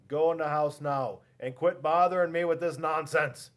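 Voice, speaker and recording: A man's voice: fairly high pitch, fairly even intonation, moderate pace, natural voice. A man voicing a busy mother who scolds her son in exasperation. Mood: impatient.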